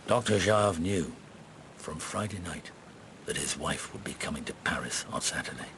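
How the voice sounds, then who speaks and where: Weary middle-aged detective, low voice w lots of movement, thoughtful, radio play. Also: male voice, lively intonation, moderate pace, a bit bright, slight background noise. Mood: grave, deliberate. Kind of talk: radio drama acting.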